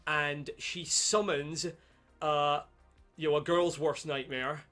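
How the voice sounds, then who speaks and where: A man co-hosting a film podcast in casual chat, halting and rambling, with casual swearing. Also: on the high side, fairly even intonation, sharp tone. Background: background music.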